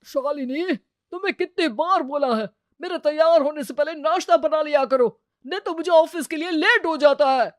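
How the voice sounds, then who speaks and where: Young husband, acted by a woman, brisk, practical, teasing, storybook family drama, dry close sound. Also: sits high, dry recording, voice pushed up, lightly acted. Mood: annoyed. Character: teasing, practical married elder brother and husband.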